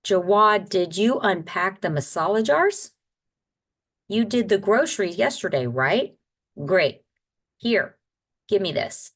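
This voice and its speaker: A woman's voice: mid-range voice, expressive, slow, pauses often, voice pushed up. A woman voicing a playful, wistful grandfather in his sixties from a children's story, reading aloud. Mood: brisk, caring. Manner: wistful, exclaiming, fond. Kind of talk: children's audiobook character voice.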